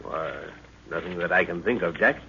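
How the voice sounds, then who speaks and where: An actor playing an older professor and explorer, a concerned father with a deliberate, authoritative manner, in an old radio adventure. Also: deep voice, pushed and bright. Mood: worried. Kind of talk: 1940s radio adventure acting. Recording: muffled, hissy old radio recording.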